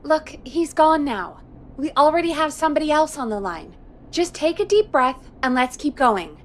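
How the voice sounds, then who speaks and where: A woman playing a calm, level-headed radio show producer in a video game. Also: high-pitched, normal speed. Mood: soothing, exasperated.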